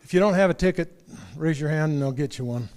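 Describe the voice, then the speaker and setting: A man's voice: fairly high pitch, fast, darkish tone. A man leading an in-person club meeting, making casual, rambling announcements with fillers and restarts. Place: meeting room.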